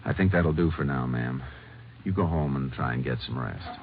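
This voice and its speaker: An actor playing a steady, courteous Texas Ranger investigator in a 1950s radio drama, speaking tersely and politely. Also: male voice, very deep voice, moderate pace. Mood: probing. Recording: tinny, hissy old radio broadcast.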